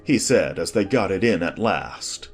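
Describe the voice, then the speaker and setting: A man's voice: sits low, animated, a little slow, faint music behind. A man reading scripted storybook narration as the narrator of an audiobook.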